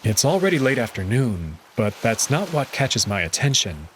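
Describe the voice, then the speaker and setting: A man's voice: medium pitch, big pitch swings, moderate pace, a bit bright, slight background noise, rough recording. A man narrating a visual novel in the first person, reading it expressively and dramatically.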